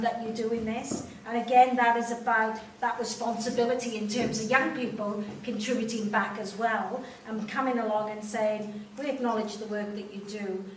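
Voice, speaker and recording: A Welsh woman addresses a gathering from a distance, her fairly high voice carrying around an echoey room with a faint hum of ambience behind. She talks informally to the crowd, dotting her speech with ums and friendly asides. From Wales. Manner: warm, informal speaking with many ums and direct questions to the audience. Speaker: chief executive giving a speech. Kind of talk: speech to an audience at an event. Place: large room at a volunteer event.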